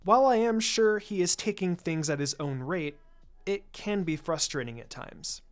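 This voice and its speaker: A man voicing a polite, thoughtful teenage ninja girl in a story reading, careful and soft-spoken. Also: high voice, expressive, moderate pace, faint music behind. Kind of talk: storybook-style character reading.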